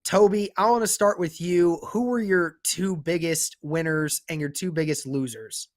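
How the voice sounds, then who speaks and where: A man's voice: fairly high pitch, normal speed. A young man with a sore throat hosting a casual sports podcast, upbeat and leading the talk. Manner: upbeat, leads the discussion. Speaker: podcast host.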